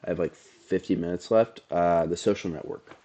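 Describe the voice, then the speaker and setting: A man's voice: deep voice, normal speed, dark tone, dull audio. A young adult man co-hosting a podcast, chatting casually about movies, sounding nasal from allergies and rambling in a laid-back way. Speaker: podcast co-host.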